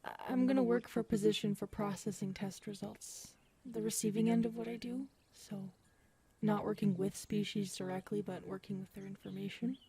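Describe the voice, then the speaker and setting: A woman acting in an ASMR audio roleplay as a gentle, shaken specialist who confides haltingly and softly. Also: mid-range voice, steady tone, lightly acted. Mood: soft, reassuring. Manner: soft-spoken, hesitant, halting.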